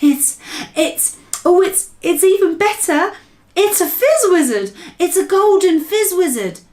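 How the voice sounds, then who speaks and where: A woman reading a storybook aloud and voicing an excitable, friendly giant who cries out in mangled grammar. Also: on the high side, moderate pace, pitched above the performer's own voice, lightly acted. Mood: thrilled. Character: big friendly giant, excitable old male.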